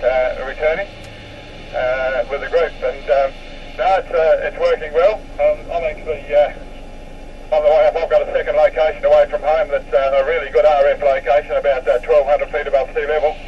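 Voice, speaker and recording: An Australian man chatting casually as an amateur radio operator, exchanging callsigns over FM radio. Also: medium pitch. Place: mobile in a vehicle, heard over radio. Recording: muffled, noisy, distant FM radio transmission.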